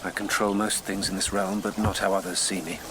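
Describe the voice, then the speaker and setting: A man plays a solemn, secretive statesman in a period radio drama. The voice is very deep, formal and guarded. There is clear water noise behind it. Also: flat delivery, a little fast, close to an everyday voice. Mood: ominous. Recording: noisy water ambience behind.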